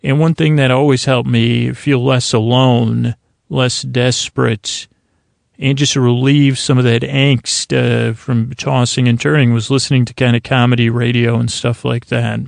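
Creaky-voiced man rambling softly through a bedtime podcast. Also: medium pitch, flat delivery, no room sound, clean studio sound. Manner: meandering, halting, circles back on himself. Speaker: podcast host. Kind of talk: rambling bedtime-story podcast intro monologue.

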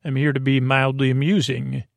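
A man hosting a sleep podcast with an improvised bedtime monologue, meandering, halting and mildly self-deprecating, with a creaky voice. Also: mid-range voice, flat delivery, dry recording. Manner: meandering, halting, often trailing off mid-sentence.

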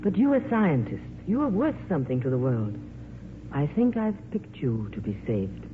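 An actress playing a world-weary, glamorous film star in a 1950s radio drama, with a wry, reflective, theatrical manner. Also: female voice, low-pitched, very animated, normal speed. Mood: wry, resigned. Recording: muffled, noisy 1950s radio recording.

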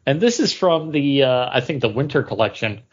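A man's voice: mid-range voice, expressive, brisk, husky. A man chatting casually as a podcast co-host, playful and rambling in the way he talks. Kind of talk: casual chat. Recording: dull lo-fi audio.

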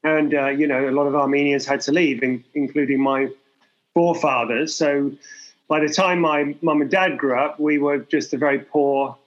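A man in his forties from England talks candidly as an interview guest, rambling and self-deprecating, often restarting his sentences. His voice sits a little high. The recording is dull and lo-fi. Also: fairly even intonation, normal speed. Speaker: guest artist.

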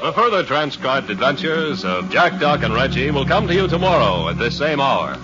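A male radio announcer reading the credits in a measured, formal way, his voice rising and falling a lot. The sound is a dull old transcription with loud music behind him. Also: medium pitch, very animated.

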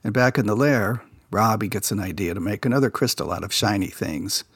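A man's voice: fairly low pitch, brisk, slightly rough, no echo, studio quality. A man hosting a podcast, casually recapping a children's TV episode and sharing behind-the-scenes production stories. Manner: relaxed insider storytelling, recounting production details.

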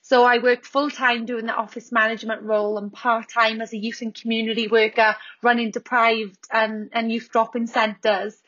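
A woman's voice: a little high, level tone, normal speed, hardly any pauses, fairly bright tone, dull audio. A Welsh woman in her thirties, a podcast guest chatting casually about her business, with frequent ums and restarts. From Wales. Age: 30s. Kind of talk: casual interview chat.

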